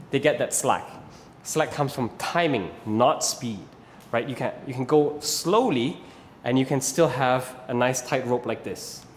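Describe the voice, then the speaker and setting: A man's voice: medium pitch, in a large hall, a little hiss or hum. A man in his thirties from Malaysia with a Malaysian English accent, patiently explaining rope flow as an instructor and often checking in with "right?". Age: 30s. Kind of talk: instructional video talk.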